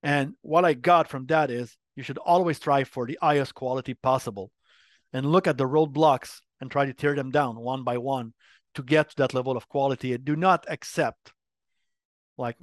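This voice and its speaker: A middle-aged French Canadian man, a native French speaker, answering interview questions in a candid, animated way. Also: mid-range voice, normal speed, dry recording, clean studio sound. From Quebec, Canada. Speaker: guest, brand marketing head.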